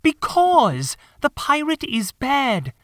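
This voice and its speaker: A man's voice: very high-pitched, huge pitch swings, takes it slowly, a bit bright, no echo, studio quality, pitched above the performer's own voice, heavily acted. A man voicing a bossy, stubborn little boy, insistent and matter-of-fact, in an audiobook story.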